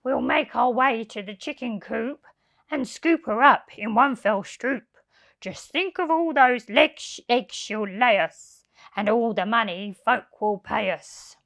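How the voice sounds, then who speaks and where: A woman acts out a greedy male crook from a children's storybook. He plots like a conspirator, laying out each phrase slowly, with swooping, animated pitch. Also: heavily acted. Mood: scheming. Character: Hefty Hugh, scheming male thief in a storybook.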